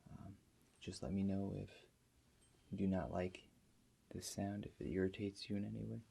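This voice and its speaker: Low, husky, creaky-voiced man doing ASMR. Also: flat delivery. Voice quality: soft-spoken, husky. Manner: gentle, unhurried explaining with pauses. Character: calm, reassuring doctor guiding a therapy session. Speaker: ASMR performer playing a doctor. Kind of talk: ASMR roleplay.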